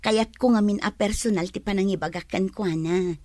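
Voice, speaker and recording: An actress playing an earnest, soft-spoken young pregnant woman in a radio drama. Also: mid-range voice, fairly quick, no echo, lightly acted. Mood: confiding.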